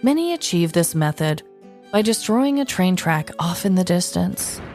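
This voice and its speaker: Expressive, lively woman podcaster. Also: fairly low pitch, normal speed, faint music behind. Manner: expressive, dramatic. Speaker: podcast co-host. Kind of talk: casual banter and scripted comic storytelling.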